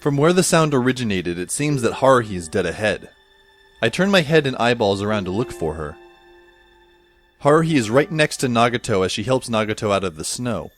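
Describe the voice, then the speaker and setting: A man's voice: medium pitch, sing-song, brisk, faint music behind, natural voice. A man playing a bewildered, wry teenage schoolboy who narrates an audio drama in a wordy, dramatic way. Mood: wry, watchful. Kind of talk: scripted first-person audio drama narration.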